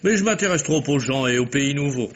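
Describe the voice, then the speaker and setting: A man's voice: medium pitch, animated, fairly quick, lightly acted. An actor playing a cocky, womanizing army officer in a radio mystery play, breezy and theatrical. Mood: playful, casual. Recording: faintly noisy old radio recording.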